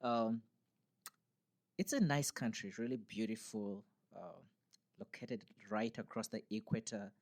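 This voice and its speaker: A man's voice: lowish voice, unhurried. A man from Uganda, a podcast interview guest who talks in a cheerful, explanatory way, recorded in a university podcast studio. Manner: cheerful, explanatory, laughs easily. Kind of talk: casual interview conversation. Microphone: podcast studio mic.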